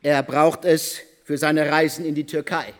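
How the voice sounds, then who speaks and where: A man's voice: on the high side, level tone, measured pace. A man giving dramatic scripted radio narration with emphatic, theatrical phrasing, in a reverberant hall sound.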